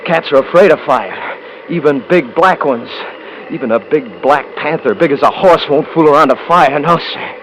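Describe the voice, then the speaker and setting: An actor playing a domineering, scornful eldest-brother rancher in a 1950s radio drama, with folksy Western speech. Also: male voice, a little high, animated, normal speed, a bit bright, poor audio. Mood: brooding, wary. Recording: hissy 1950s radio recording.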